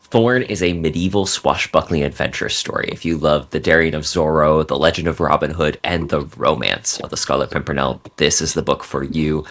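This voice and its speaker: A male novelist chats as a podcast guest. His voice sits very low, and he explains his work in an articulate, conversational way. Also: a bit bright. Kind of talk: casual interview talk, articulate book pitch.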